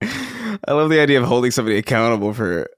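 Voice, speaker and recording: Animated, joking man with a swooping voice. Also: medium pitch, fast talker, no echo, studio quality. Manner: joking, laughs often, rambling with restarts. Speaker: podcast co-host. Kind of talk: casual chat.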